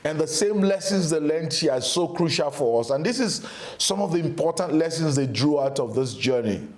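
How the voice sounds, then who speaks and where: A man's voice: a little high, animated, normal speed. A man hosting an interview show, asking questions and giving earnest, preacher-like reflections. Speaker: podcast host. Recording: echoey room.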